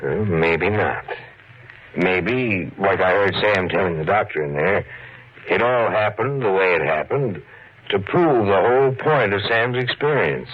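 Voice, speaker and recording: An actor playing a plain-spoken, steady police officer, folksy and a little hesitant, in 1940s radio style. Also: male voice, low voice, a little slow. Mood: explaining, earnest. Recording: dull lo-fi 1940s radio recording.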